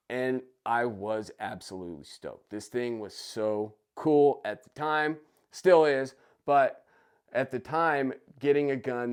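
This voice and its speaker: Raspy, congested man vlogging. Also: medium pitch, a little mellow. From United States. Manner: enthusiastic, chatty. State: raspy and nasal from a cold. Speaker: gun channel host. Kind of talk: casual personal vlog. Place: basement room.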